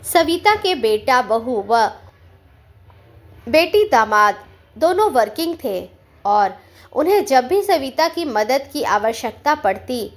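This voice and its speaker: A woman with a high voice narrates a sentimental family story. She reads at a slow pace, with measured, deliberate phrasing, as a storyteller working from a script.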